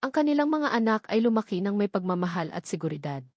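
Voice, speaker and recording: A woman's voice: medium pitch, very animated, quick pace, no echo, studio quality. A woman reading a scripted romance story as its narrator.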